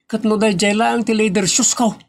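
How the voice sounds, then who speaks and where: A man playing a gravelly, fussy husband. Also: sits high, steady tone, normal speed. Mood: complaining. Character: fussy husband, protective of his leather shoes. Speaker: husband in a comedy skit. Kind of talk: homemade comedy skit acting.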